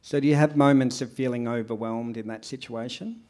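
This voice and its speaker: A man's voice: mid-range voice, fairly even intonation, brisk, darkish tone. A man moderating a panel, asking supportive questions and bringing speakers in. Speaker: panel facilitator.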